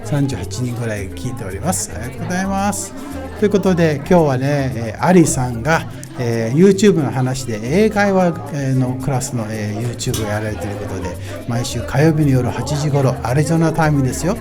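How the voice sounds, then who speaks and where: A man's voice: mid-range voice, lively intonation, racing. A man with a Japanese accent hosting a live radio broadcast at a pop-up event, chatting politely and casually in a bilingual way. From Los Angeles, based there. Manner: polite, switches between Japanese and English. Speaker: radio host. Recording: busy event crowd and music. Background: crowd chatter and music.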